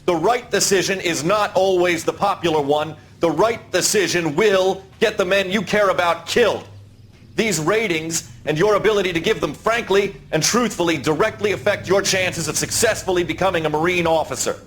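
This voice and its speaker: An actor playing a stern, commanding American officer in a period crime game, clipped and formal. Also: male voice, high voice, moderate pace, a bit bright.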